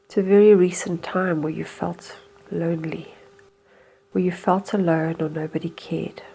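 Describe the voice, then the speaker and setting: A woman guides a meditation in a slightly low, mellow voice. Her delivery is hushed and soothing, with unhurried, hypnotic phrasing and a gravelly creak at the edges. Faint music plays behind her. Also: rough recording.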